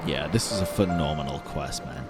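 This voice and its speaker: A man's voice: rumbling low, normal speed. A man doing casual, opinionated live stream commentary in a blunt, slangy way, swearing often as he ranks things. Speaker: streamer ranking game quests. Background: video game music.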